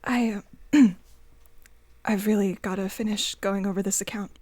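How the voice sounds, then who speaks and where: A woman acting in an audio drama as a worn-down, exasperated partner, pleading and haltingly repeating herself. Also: mid-range voice, fairly even intonation, darkish tone, no echo, close to an everyday voice. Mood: resigned.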